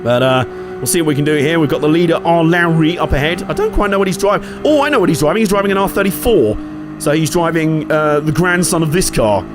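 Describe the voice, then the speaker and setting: A man with a slightly hoarse voice from a cold, casually commentating his own racing game with jokes and swearing. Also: fairly high pitch, expressive, quick pace. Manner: chatty, jokey, sweary, reacting aloud to the race. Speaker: gaming channel host.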